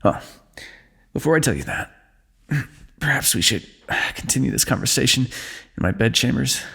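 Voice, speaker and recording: A man's voice: medium pitch, normal speed, gravelly, husky, full character voice. A man playing a wry, wounded fantasy ruler. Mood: dry teasing. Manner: dry, teasing, calm understatement. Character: wry wounded male ruler, teasing old comrade. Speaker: ASMR roleplay voice actor. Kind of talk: fantasy ASMR roleplay.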